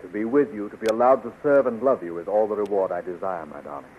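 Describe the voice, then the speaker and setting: A man's voice: fairly low pitch, fast talker, lightly acted. An actor playing a bewitched young hero, theatrical and gallant, in a 1930s radio serial. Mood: adoring. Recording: tinny, noisy 1930s radio recording.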